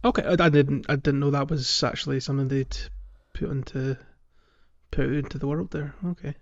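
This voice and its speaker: A man hosting a podcast, chatting casually about a video game and thinking out loud as he goes. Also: medium pitch, steady tone, a little fast, muffled recording. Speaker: podcast host.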